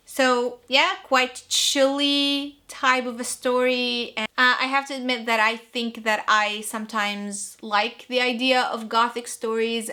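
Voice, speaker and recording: Bright-voiced chatty young woman, non-native English. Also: on the high side. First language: not English. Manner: chatty, rambling, self-correcting. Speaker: book vlogger. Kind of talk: casual chat about reading plans.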